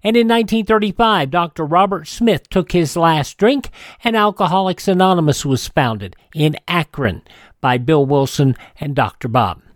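A man's voice: fairly high pitch, animated, moderate pace, dry recording, clean studio sound. A man narrating as a radio host, reading scripted historical notes.

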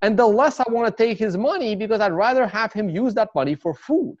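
Higher-voiced Russian-accented man, rambling. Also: expressive, brisk, no room sound. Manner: rambling, many fillers and restarts. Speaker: interview guest, consultant. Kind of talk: casual interview talk. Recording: dry, slightly dull sound.

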